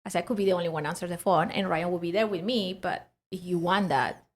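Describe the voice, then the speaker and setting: A woman's voice: lowish voice, fast talker. A woman from Peru speaking English with a Peruvian Spanish accent, telling her story animatedly in a casual interview and laughing often. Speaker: guest.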